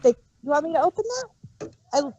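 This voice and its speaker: A woman co-hosting a casual review podcast, speaking in a halting way and often restarting her sentences. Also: high-pitched, dark tone, a little hiss or hum. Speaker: podcast co-host reviewing audio dramas. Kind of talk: casual unscripted chat. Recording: dull, dry podcast microphone.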